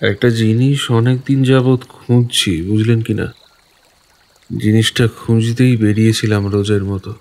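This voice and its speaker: A man's voice: lowish voice, fairly even intonation, darkish tone. An actor playing a mysterious, solemn village man in an audio ghost story, with a cold, rustic way of talking. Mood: eerie, measured. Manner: slow, cold-toned, rustic turns of phrase. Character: mysterious pale village man searching at night, eerie and solemn. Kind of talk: audio horror story acting.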